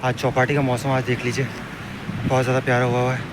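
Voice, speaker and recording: A young man with a hoarse voice and a blocked nose, giving an upbeat pep talk to viewers in a casual fitness vlog. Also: medium pitch, completely flat delivery, quick pace. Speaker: fitness vlogger. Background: street traffic and wind.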